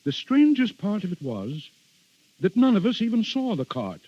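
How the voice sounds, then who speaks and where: A man's voice: high-pitched, wildly swooping pitch, normal speed, breathy edge, no echo, exaggerated voice. An actor playing a genial middle-aged aristocratic father, formal and avuncular, in a vintage radio drama. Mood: puzzled. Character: genial aristocratic father, an Italian duke, kindly and composed. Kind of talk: 1930s-40s radio acting. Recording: muffled old radio broadcast recording.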